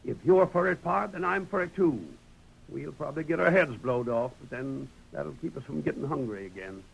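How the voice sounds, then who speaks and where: An actor playing a loyal, fretful old American prospector sidekick, talking in rustic cowpoke fashion in a 1930s radio Western. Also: male voice, fairly high pitch, normal speed, vocal fry. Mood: wary. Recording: noisy 1930s radio recording.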